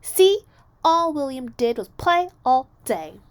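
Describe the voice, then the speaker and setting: Woman playing an indignant little schoolgirl, swooping pitch. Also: big pitch swings, takes it slowly, heavily acted. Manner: huffy, emphatic complaining.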